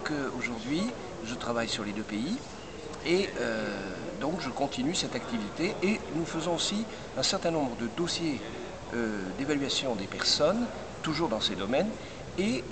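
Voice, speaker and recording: An older man heading a recruitment firm, answering interview questions in a measured way with many hesitations and restarts. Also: mid-range voice, sing-song, crowd noise. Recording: loud background noise.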